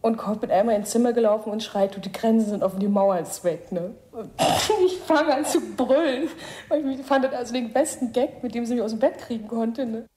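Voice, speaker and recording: A woman's voice: fairly high pitch, fairly quick, dark tone. A woman telling a personal anecdote conversationally, acting out what was said and adding little tag questions. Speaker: eyewitness.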